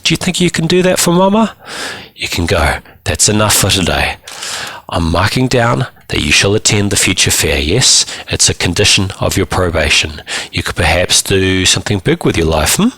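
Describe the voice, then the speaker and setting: Male reader as middle-aged female probation officer, motherly, patronising, coaxing, bright edge, dry close sound. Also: sits low, breathy edge, no echo, exaggerated voice. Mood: coaxing, firm. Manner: calls the listener child, coaxing and lecturing. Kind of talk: dramatised short story reading.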